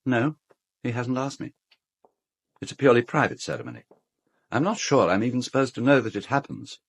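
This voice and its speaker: An actor playing a fastidious, polite British gentleman host in an audio drama, measured and slightly diffident. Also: mid-range voice, sing-song, slightly rough, no echo. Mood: polite, informative. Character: courteous, fastidious gentleman host of an island estate.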